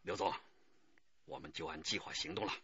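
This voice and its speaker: An actor playing a decisive, authoritative police detective chief giving orders in a radio drama. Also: male voice, a bass voice, fairly quick, sharp tone, muffled recording, a little hiss or hum. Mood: focused, urgent.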